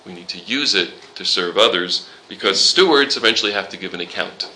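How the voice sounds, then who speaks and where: A man's voice: low-pitched, animated, brisk, sharp tone, a little hiss or hum, low-quality recording. A man leading an informal Bible study class, quoting scripture and joking with the students. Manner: conversational, quotes scripture, jokes with the class.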